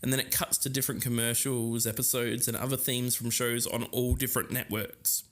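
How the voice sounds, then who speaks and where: A man's voice: medium pitch, flat delivery, fairly quick, a bit bright. A man co-hosting a podcast, moving between semi-scripted narration and conversational banter. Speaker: podcast co-host.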